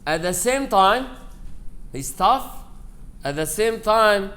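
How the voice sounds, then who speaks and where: Higher-voiced man giving a memorial speech. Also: lively intonation, takes it slowly, bright, forceful tone. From Aleppo, Syria. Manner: conversational storytelling, acting out quoted dialogue, broken English grammar. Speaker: rabbi giving a eulogy. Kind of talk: informal memorial speech with personal anecdotes. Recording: live speech with clear background noise.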